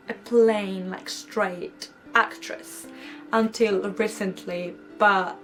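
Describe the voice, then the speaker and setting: Slow-talking young Greek woman vlogger. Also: on the high side, rough recording. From Greece. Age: young adult. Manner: chatty, giggly, animated quoting of herself. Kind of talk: casual storytime chat to camera.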